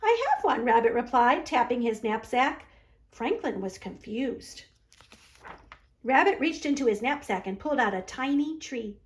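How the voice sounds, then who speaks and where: A woman's voice: a little high, measured pace. A woman library storyteller narrating a children's storybook aloud.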